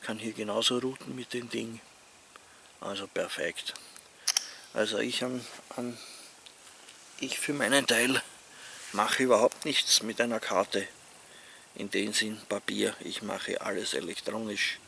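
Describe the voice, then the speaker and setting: Austrian male vlogger, fifties, low creaky voice with a bright edge, casual talk outdoors over faint ambient noise. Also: steady tone, unhurried, long pauses. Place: outdoors among leafy trees.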